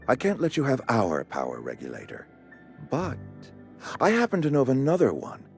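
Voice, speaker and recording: A pompous male outpost administrator in a video game. He is courteous yet officious, with formal, wordy phrasing. His very animated pitch swings widely around a middle register, over faint music. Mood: emphatic, instructive. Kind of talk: video game character acting.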